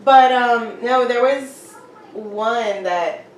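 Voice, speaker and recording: A woman's voice: on the high side, drawn-out words. Laughing woman guest on a podcast. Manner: laughs often, easygoing, rambling. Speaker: podcast guest, police dispatcher. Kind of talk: casual interview chat. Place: home. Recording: roomy, distant mic with faint noise.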